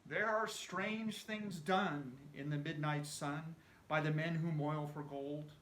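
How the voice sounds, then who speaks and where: A man giving an animated, theatrical recitation of a narrative poem, often dropping to a hush as phrases end. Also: on the high side, slow, echoey room. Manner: animated, theatrical storytelling with hushed phrase endings. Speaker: amateur poetry reciter.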